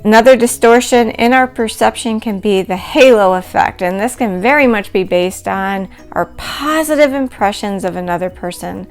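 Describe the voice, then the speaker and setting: A middle-aged woman teaching a recorded lecture, explaining concepts in a clear, measured way. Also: mid-range voice. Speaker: instructor.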